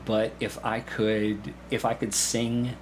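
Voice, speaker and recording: A man's voice: lowish voice, level tone, slow, a little hiss or hum, low-quality recording. A middle-aged man talking casually as an interview guest, telling things in an anecdotal way. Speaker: podcast guest, amateur stage actor.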